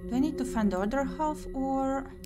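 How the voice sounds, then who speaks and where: A woman's voice: high-pitched, fairly quick. A woman streaming a visual novel playthrough, reading game text aloud expressively and muttering short asides to herself. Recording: music playing behind the voice.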